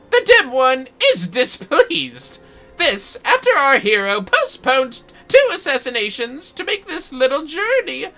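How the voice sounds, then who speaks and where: A woman's voice: high-pitched, bright, forceful tone, voice pushed up, heavily acted. A woman voicing a flamboyant male shinobi villain from a game, gleefully mocking, grandiose and theatrical in manner. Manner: grandiose, taunting, talks in third person. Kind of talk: theatrical game dialogue read aloud.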